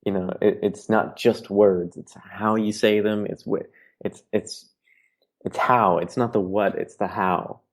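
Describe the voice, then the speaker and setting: A very deep-voiced man speaks as a guest on a podcast. He is an artist who talks in an earnest, philosophical way, reflecting thoughtfully in casual conversation. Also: steady tone, measured pace.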